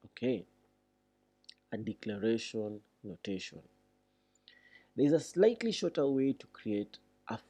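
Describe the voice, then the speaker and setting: A man giving a read-along programming tutorial, reading deliberately and thinking aloud as he explains. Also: mid-range voice, creaky, mellow, slightly rough.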